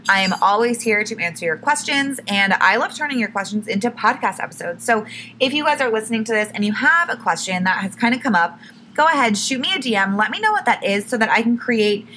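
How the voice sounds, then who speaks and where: Chatty bright-voiced woman podcast host. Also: fairly high pitch, expressive, quick pace, slight background noise. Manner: chatty, self-correcting, says "like" and "literally" a lot. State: a little hoarse, losing her voice. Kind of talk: casual solo podcast tips.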